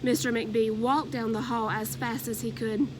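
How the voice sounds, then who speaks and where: A woman narrating a children's storybook aloud in an expressive, deliberate way. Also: fairly high pitch, noisy background.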